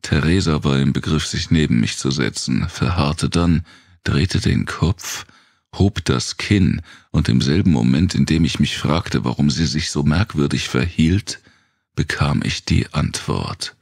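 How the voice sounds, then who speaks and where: A man narrating a dark thriller audiobook in the first person, with a gravelly, husky voice and a measured, brooding delivery. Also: a bass voice, no room sound, clean studio sound.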